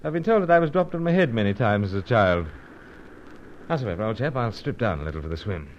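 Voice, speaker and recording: Actor playing a deep-voiced jaunty British secret agent. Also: male voice, animated, fast, natural voice. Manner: hesitant, self-deprecating, old-fashioned chivalrous phrasing. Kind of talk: vintage-style radio adventure serial acting with first-person narration. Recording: dull, noisy old radio recording.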